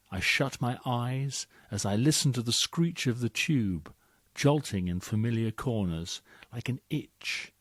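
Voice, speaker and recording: A man reading poems aloud in a theatrical, emphatic way. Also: dry recording. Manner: theatrical, swooping pitch, emphatic. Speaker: reader of competition entries. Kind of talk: expressive poetry reading.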